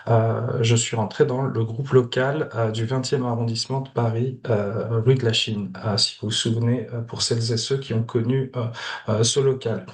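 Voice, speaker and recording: A Moroccan-born man in his thirties talks over a video call at a middling pitch. He speaks hesitantly, stopping often on filler sounds as he tells his story. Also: steady tone, normal speed. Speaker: guest activist. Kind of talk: casual video call interview.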